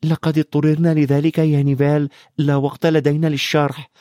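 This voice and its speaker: A man's voice: a little high, little pitch movement, fairly quick, mellow, no room sound, clean studio sound, voice pushed up, natural voice. A man voicing a determined schoolboy who speaks in a tense, urgent way.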